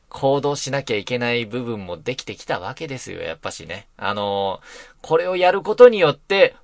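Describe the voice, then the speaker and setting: A man's voice: fairly high pitch, a bit bright, dry recording. A middle-aged man hosting a casual solo net radio show, rambling along in a self-deprecating way. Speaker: net radio host and voice actor. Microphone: close mic in a soundproof booth.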